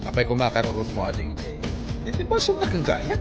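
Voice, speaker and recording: A man's voice: medium pitch, wildly swooping pitch, dull audio, over music. An actor playing a teasing male neighbor in a radio drama, drawing out his words with a playful edge. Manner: teasing, chuckling, drawn-out words.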